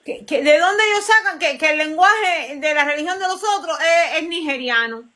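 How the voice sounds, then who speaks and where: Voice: high voice, lively intonation, normal speed, bright, forceful tone. A speaker in a casual religious debate who keeps pressing questions insistently.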